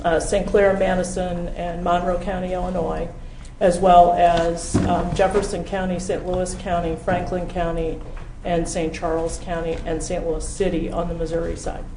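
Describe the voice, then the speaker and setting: An American woman giving an informal briefing at a public meeting in a halting, measured way. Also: fairly low pitch, little pitch movement. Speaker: county official introducing a presentation. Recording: echoey room with background noise.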